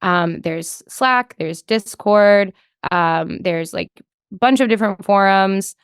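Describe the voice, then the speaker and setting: Woman with a very clear, smooth voice. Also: mid-range voice, takes it slowly, no echo, clean studio sound. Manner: conversational, thinking aloud, frequent hedging with "like". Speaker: podcast guest, developer relations engineer. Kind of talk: casual interview chat.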